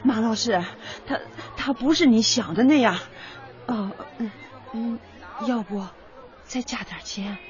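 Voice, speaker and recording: A woman's voice: fairly high pitch, unhurried, natural voice. An actress playing a persistent, doting middle-aged mother, fussy and eager, in a radio drama. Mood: flustered pleading. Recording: slightly dull audio, music behind.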